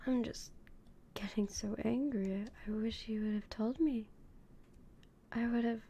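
A young woman whispering slowly and intimately as a doting, possessive girlfriend in an audio roleplay. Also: fairly high pitch, steady tone, slight background noise. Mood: indignant. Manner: soft whispering, slow and intimate.

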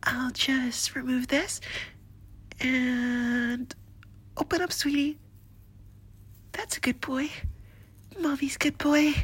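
A woman voicing a doting, flirtatious nurse in a whispered roleplay, with a soft, breathy, slow and coaxing delivery. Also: high-pitched, steady tone, heavily acted. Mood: whispery, doting. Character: doting, flirtatious female nurse, motherly and teasing.

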